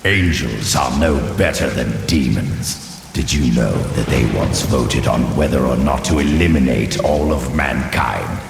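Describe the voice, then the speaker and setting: A man voicing an ancient, scheming sorcerer who speaks slowly and condescendingly with a deep, gravelly voice. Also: expressive, background noise, full character voice. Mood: persuasive. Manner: slow, measured, condescending. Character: ancient scheming male Horadric sorcerer, silky and proud. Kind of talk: video game cutscene dialogue. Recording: roomy game mix with music.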